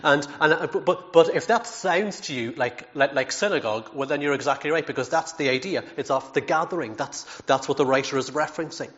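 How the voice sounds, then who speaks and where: A man's voice: a little high, a little fast, hardly any pauses, a bit bright, highs cut off. A man preaching a sermon in an earnest, emphatic way, with self-deprecating asides and frequent repeated words.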